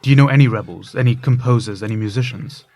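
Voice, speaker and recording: An actor playing a world-weary failed musician in hiding, fatalistic and bitter, in an audio drama dialogue. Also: male voice, medium pitch, fast, darkish tone, close to an everyday voice. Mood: pressing, fatalistic. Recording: music bed under voice.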